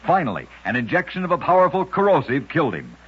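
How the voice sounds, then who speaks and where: A man hosting an old-time radio program, narrating scripted strange tales in a dramatic, deliberate storyteller's delivery. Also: on the high side, huge pitch swings, husky. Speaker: radio host and narrator of oddities. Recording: dull lo-fi vintage radio recording.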